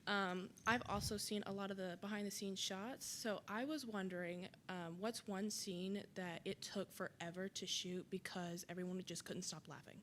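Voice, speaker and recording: Woman asking a question at a fan panel, normal pitch, bit of rumbly noise. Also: fairly even intonation, normal speed, hardly any pauses, fairly bright tone. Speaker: audience member asking a question. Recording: noisy rumbling convention room mic.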